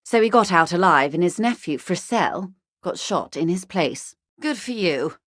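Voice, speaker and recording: An actress playing a worldly, genteel corporate negotiator in a video game, speaking in a dry, ironic, measured and articulate way. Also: female voice, on the low side, expressive, dry recording. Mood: composed, urgent. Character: worldly, wry corporate negotiator woman, coolly detached and genteel. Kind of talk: scripted video game dialogue.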